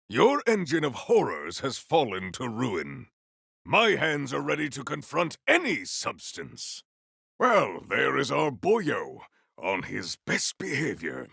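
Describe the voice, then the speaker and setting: A proud solemn game warrior, gravelly, big swings in pitch, very formal and grand. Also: male voice, a bit bright, no echo, clean studio sound, exaggerated voice. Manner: formal, stately diction with dramatic weight. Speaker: video game voice actor. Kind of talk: scripted game character lines.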